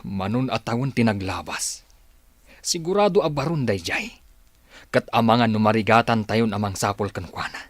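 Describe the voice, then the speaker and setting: A man's voice: fairly low pitch, no echo, natural voice. A man with an Ilocano accent playing a remorseful, plaintive old grandfather in a radio drama. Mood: wistful. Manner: sorrowful, plaintive, drawn-out delivery. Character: remorseful old grandfather longing for his lost family. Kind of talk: Filipino radio drama acting.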